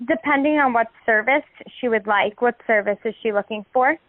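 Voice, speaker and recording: A woman's voice: a little high, fairly quick, no room sound. A woman working as a salon receptionist, handling a booking in a polite customer-service phone conversation. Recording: dry, narrow phone line.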